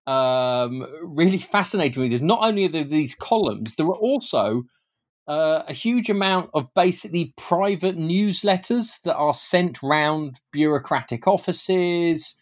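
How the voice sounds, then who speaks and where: A man's voice: fairly high pitch, expressive, measured pace. A British man speaking as an interviewed author, explaining things informally and often hesitating or repeating words as he goes. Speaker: guest author and historian.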